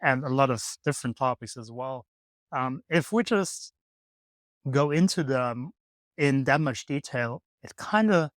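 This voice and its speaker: A man hosting a podcast, talking in an easygoing, halting way with many pauses and "um"s. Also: mid-range voice, animated, dry recording. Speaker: podcast host. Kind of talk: casual interview chat. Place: hostel room.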